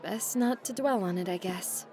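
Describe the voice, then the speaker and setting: An actress playing a sardonic, resentful adult daughter of a tycoon in an audio drama, dryly sarcastic and teasing. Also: female voice, medium pitch, moderate pace, warm tone, close to an everyday voice. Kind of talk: naturalistic audio drama acting.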